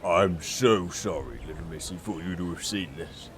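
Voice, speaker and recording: Guy doing big sheepish workman, deep slow voice, sorry about it, audio drama. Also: fairly bright tone, slight background noise. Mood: sheepish apology. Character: burly, apologetic, flustered man, humble labourer. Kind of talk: fan audio drama acting.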